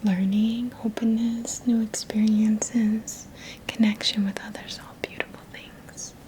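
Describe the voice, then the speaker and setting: A woman whispering breathily in a soothing ASMR style as a Reiki teacher guiding an energy-healing session, often repeating soft phrases. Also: a little high, level tone, slight background noise, rough recording. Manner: slow, soothing, repeats words and phrases in a trailing murmur.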